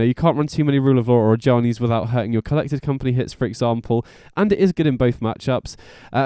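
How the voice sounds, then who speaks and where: A man hosts a card game guide in a relaxed, mellow voice of medium pitch. His commentary is informal and peppered with slang. The sound is close and dry, with very little room in it. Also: clean tone, no room sound. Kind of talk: casual gameplay commentary.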